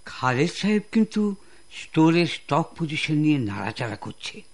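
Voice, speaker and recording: A man's voice: on the high side, big pitch swings, normal speed. A man with a Bangladeshi accent playing an uneasy, conspiring office informant in a radio drama, urgent and confiding. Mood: conspiratorial.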